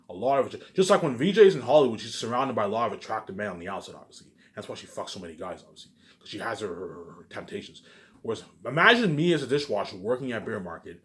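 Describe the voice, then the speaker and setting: A man's voice: lowish voice, a bit bright. A man giving a rambling, repetitive personal monologue, restarting sentences, leaning on verbal tags and starting emphatically before trailing off into mutters. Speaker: vlogger.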